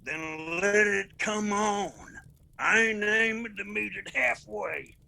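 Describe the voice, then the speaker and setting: An actor playing a proud, principled and vengeful mountain clan leader in a radio drama, with an Appalachian accent. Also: male voice, high voice, steady tone, slow, creaky, bright, forceful tone, full character voice. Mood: firm resolve.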